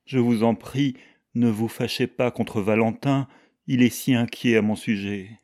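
A man reading the part of a frail, gentle young woman in a novel, faint, soft and pleading. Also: mid-range voice, fairly even intonation, measured pace, close to an everyday voice.

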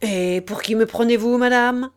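A woman's voice: a little high, moderate pace, clean tone, close to an everyday voice. A woman reader plays a middle-aged father who is proud and easily angered. He speaks in firm, clipped phrases with raised force. It is read aloud as a storybook. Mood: indignant.

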